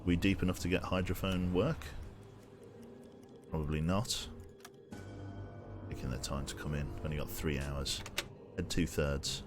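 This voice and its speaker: A man with a voice going hoarse, chatting casually while streaming a submarine game and giving orders to his crew. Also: extremely low pitch, fairly even intonation, unhurried, long pauses, music in the background. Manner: chatty, greets viewers, gives crew orders. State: losing his voice. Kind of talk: casual live gameplay commentary.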